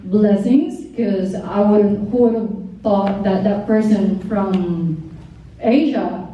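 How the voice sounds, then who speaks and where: A Filipino woman from the Philippines gives a rambling, grateful speech full of fillers. Her voice is mellow and sits at a middle pitch. She is picked up from a distance in a very echoey room with faint noise behind her. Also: takes it slowly. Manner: rambling, many fillers, stumbling English. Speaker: modeling agency owner giving a speech. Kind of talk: heartfelt speech at a party table.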